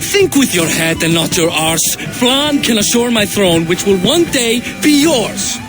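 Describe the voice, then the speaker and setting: A man's voice: high voice, animated, unhurried, over music. An actor playing a middle-aged Viking-age king and stern, worried father in a video game. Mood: angry, stern. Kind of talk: dramatic video game cutscene acting.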